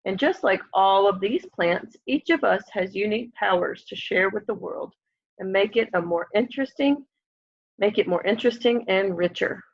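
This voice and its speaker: A young woman reading a children's picture book aloud in an expressive way, often putting questions to young listeners. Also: mid-range voice, moderate pace. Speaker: storybook reader. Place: room at home, indoors. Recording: dull, lo-fi home webcam audio.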